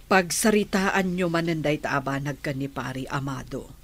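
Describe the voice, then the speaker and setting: An actress playing a sensible middle-aged friend who gives measured advice in a radio drama. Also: female voice, deep voice. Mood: friendly, concerned.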